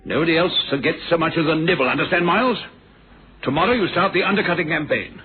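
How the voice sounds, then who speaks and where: A man's voice: a little high, animated, fast talker, narrow, tinny audio, natural voice. A man acting a domineering, ruthless middle-aged company boss in a radio drama, with a curt, menacing manner. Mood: domineering anger. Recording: thin band-limited old radio, noisy.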